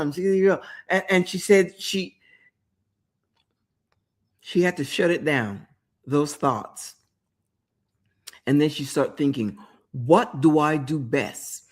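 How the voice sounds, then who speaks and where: A woman hosting a motivational talk show, retelling a story with emphatic repetition and speaking directly to the listener. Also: low voice. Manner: emphatic, repeats words for effect, addresses listener directly; storytelling with quoted inner thoughts. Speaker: host.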